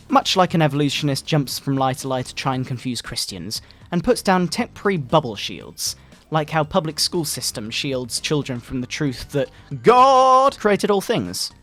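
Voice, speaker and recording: A pompous fundamentalist doctor, acted by a man as satire, sermonizes with theatrical indignation. The voice sits slightly high and swings widely in pitch, with retro video game music playing softly behind it. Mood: scornful. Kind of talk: dramatic satirical scripted reading.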